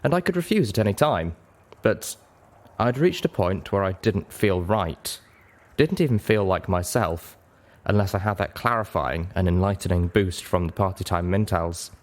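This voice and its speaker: Deep-voiced man narrating a story. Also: normal speed. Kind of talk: expressive audiobook narration.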